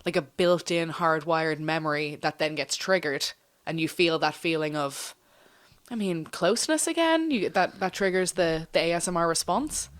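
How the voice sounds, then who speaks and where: A woman interviewing in a casual chat, encouraging and quick with short affirmations. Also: low-pitched, animated.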